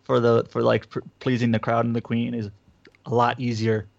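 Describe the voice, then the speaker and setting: Gravelly man in casual chat. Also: mid-range voice, completely flat delivery, moderate pace, no room sound, highs cut off. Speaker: podcast co-host.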